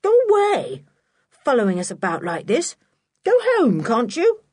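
A woman voicing a bold, bossy, quick and secretive schoolboy in a children's audiobook, with an urgent, exclaiming manner. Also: mid-range voice, big pitch swings, a little mellow, slightly rough, no room sound, studio quality. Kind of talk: children's audiobook character acting.

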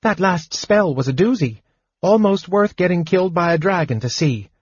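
A man voicing a small, cheeky, eager male kender in a fantasy audiobook, with a breathless, excitable delivery. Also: moderate pace, husky, dry recording, highs cut off. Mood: rueful. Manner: high-pitched, breathless, eager. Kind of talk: dramatized audiobook fantasy narration.

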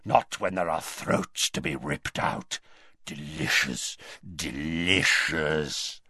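Casting: bloodthirsty werewolf villain for a storybook, performed by a man, low rasp, gloating slowly. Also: pushed and bright, dry recording, clean studio sound, heavily acted. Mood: gloating menace. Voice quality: rasping, snarling. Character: menacing, bloodthirsty male werewolf, savouring threats.

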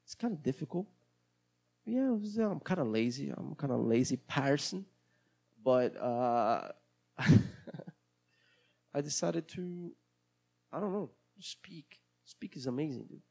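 Gravelly-voiced Brazilian man rambling casually. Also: on the high side, animated, a little slow, long pauses, darkish tone. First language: Portuguese. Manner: hesitant, rambling, many restarts. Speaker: podcast host. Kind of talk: casual solo podcast monologue.

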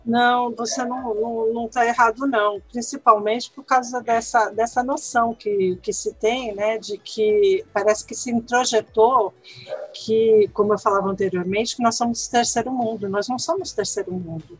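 A Brazilian woman speaking in an interview, explaining at length in an animated way and tagging sentences with questions. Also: fairly high pitch, moderate pace, husky, soft background music, rough recording. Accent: Brazilian Portuguese. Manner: animated, explanatory, frequent "né?" tags. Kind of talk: conversational interview.

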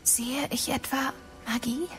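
A woman's voice: sits high, fairly even intonation, slow, a bit bright, soft background music. An actress playing a polite, eager teenage witch student in a fantasy audio drama. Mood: curious.

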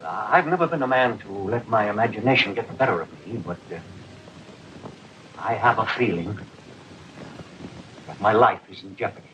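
A man's voice: fairly low pitch, animated, moderate pace, pauses often, a bit bright, soft background music. An actor playing an anxious, stern older man in a 1930s film, speaking in a grave, formal, hesitant way. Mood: grave, uneasy. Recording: muffled, noisy 1930s film soundtrack.

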